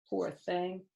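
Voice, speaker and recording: A woman's voice: lowish voice, vocal fry, dull audio. An older woman reading a children's storybook aloud expressively, with explanatory asides.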